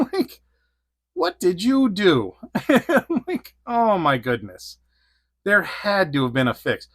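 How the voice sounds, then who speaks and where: A man's voice: sits high, big pitch swings, takes it slowly, long pauses, a bit bright, no echo, clean studio sound. A man in his forties reviewing an action figure in a casual, rambling way, laughing often between thoughts. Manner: rambling, giggly, lots of fillers. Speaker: toy reviewer.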